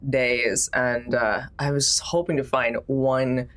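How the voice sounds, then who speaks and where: A woman's voice: extremely low pitch, flat delivery, slow pace, a bit bright, voice pushed down, full character voice. A woman playing a lost, deferential hospital visitor who rambles sheepishly in a tabletop game. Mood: sheepish, pleading.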